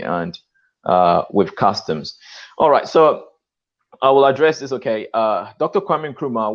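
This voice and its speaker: A man's voice: lowish voice, dull audio. A man hosting his own channel, talking in an emphatic, lecturing way, often tagging lines with "okay" and "you know.". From lives in Australia. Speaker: channel host. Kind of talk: live-stream call discussion.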